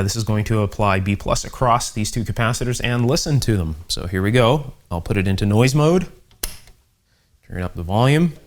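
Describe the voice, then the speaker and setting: A man with a fairly low voice hosts a hands-on repair lesson. He explains things methodically and without hurry, slipping in conversational asides as he works at the bench. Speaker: host, electronics repair instructor. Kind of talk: hands-on workbench demonstration, explanatory. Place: indoor electronics workshop.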